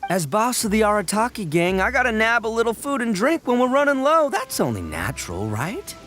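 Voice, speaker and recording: Brash young oni gang leader, theatrical bluster, hugely swinging pitch, video game dialogue, music behind. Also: male voice, very animated, a little fast, fairly bright tone.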